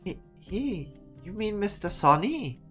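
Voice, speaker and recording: A woman's voice: mid-range voice, big pitch swings, slow pace, a bit bright. A woman voicing a timid, earnest, stammering young man in a game drama fan dub. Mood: startled, flustered. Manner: stammering, hesitant. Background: background music.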